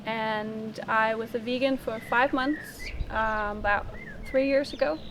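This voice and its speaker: A woman from Denmark telling her personal story in an interview, in a halting, thinking-aloud way. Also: a little high, fairly even intonation, background noise.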